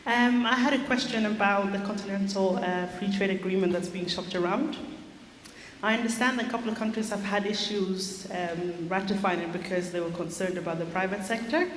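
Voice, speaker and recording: Echo and hall reverb wrap around a woman from South Sudan as she asks a question from the audience. There is faint noise behind her measured, formal questioning. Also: medium pitch. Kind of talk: prepared question from the floor. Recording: echoey hall, roomy and noisy.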